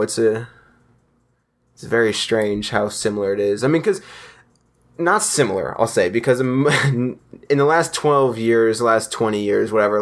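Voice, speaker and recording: A young man hosting a casual podcast, chatting in a loose, rambling way with plenty of restarts. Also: medium pitch. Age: young adult. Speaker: podcast host.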